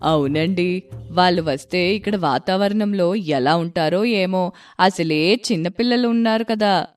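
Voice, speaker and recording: A woman's voice: on the low side, a little slow, soft background music, natural voice. A woman voicing a dutiful, worried elderly village mother-in-law in a story, plaintive and earnest. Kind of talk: storybook voice acting.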